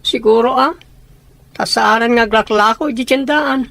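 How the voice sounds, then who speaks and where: A woman playing a hurt, resigned boy, the older brother, glum and quietly bitter in a radio drama. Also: on the high side, unhurried, no room sound. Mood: resentful.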